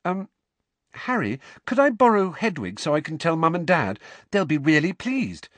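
A man plays an earnest storybook schoolgirl in her teens, a little bossy. The voice sits high and moves in a lively, expressive way. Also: normal speed, no echo. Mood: tentative. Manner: earnest, tentative or indignant. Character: earnest, bossy teenage girl, quick to bristle.

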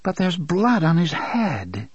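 A man's voice: sits high, huge pitch swings, slow, husky, dry recording, highs cut off, pitched above the performer's own voice, heavily acted. Man doing a timid, frightened mother. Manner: timid, pleading.

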